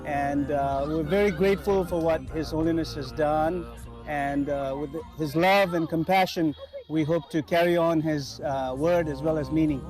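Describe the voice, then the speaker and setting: A man's voice: fairly high pitch, steady tone, moderate pace, darkish tone. A man answering a casual interview at an outdoor celebration, hesitant with many fillers. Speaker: event attendee. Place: outdoor festival in park. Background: music and dancing.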